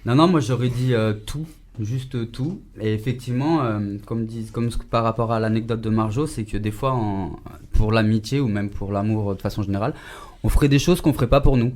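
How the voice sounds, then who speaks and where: A man's voice: fairly low pitch, brisk, a little mellow. A man speaking as a guest in a casual studio discussion, direct and ready to open a debate.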